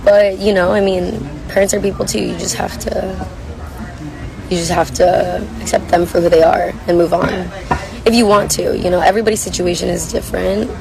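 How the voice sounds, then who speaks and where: A young woman with a hoarse, worn voice chats casually while reading viewer comments on a livestream from a coffee shop. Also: on the low side, fairly even intonation, normal speed, crowd noise, low-quality recording. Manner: chatty, rambling, reads comments aloud. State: hoarse, lost voice. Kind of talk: casual chat.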